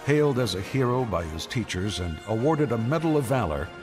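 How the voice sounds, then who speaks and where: A man giving dramatic scripted narration for a space saga, solemn, measured and portentous. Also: medium pitch, sing-song. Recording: music under the voice.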